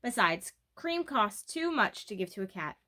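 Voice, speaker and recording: A woman's voice: medium pitch, very animated, moderate pace, bright, forceful tone. A woman voicing an earnest, worried eight-year-old girl in a storybook, with a questioning manner. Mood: musing.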